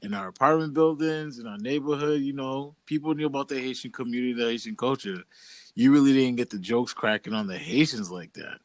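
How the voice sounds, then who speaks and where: Young male podcast co-host from Boston, relaxed and slangy, medium voice, dry recording. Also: medium pitch, a little fast, dry recording. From Boston, USA. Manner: laid-back, loose, slangy storytelling. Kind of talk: casual chat.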